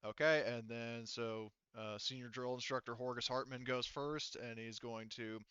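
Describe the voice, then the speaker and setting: A man's voice: mid-range voice, steady tone, normal speed, a bit bright, clear, resonant tone, no room sound. A man running a tabletop roleplaying session as game master, talking informally. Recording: slightly dull, dry close recording.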